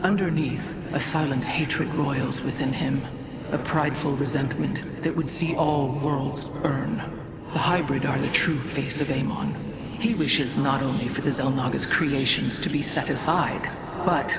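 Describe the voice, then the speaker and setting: A woman playing a haunted, dutiful alien priestess seer in a game cutscene, speaking gravely and formally, with portentous weight. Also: rumbling low, steady tone, unhurried, heavy background noise. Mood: grave warning. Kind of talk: dramatic game cutscene acting. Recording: loud music behind the voice.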